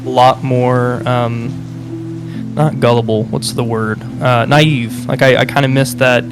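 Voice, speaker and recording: A man's voice: mid-range voice, flat delivery, takes it slowly. A young man with a Midwestern accent, talking in a casual, reflective way with lots of fillers and restarts. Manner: hesitant, many fillers and restarts, laughs often. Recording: music playing behind.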